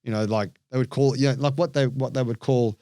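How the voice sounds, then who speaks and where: Australian man, podcast guest, lively storyteller, middle pitch, dry close sound. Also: brisk, clear, resonant tone, dry recording. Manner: animated storyteller, acts out quoted voices. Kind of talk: storytelling interview chat.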